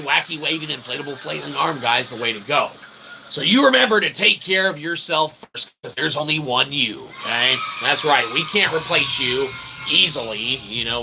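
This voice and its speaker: A man's voice: on the high side, sharp tone. A man hosting a live stream, rambling through a comic mock-sermon in wordy, pseudo-formal phrases with folksy check-ins. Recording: clearly noisy background.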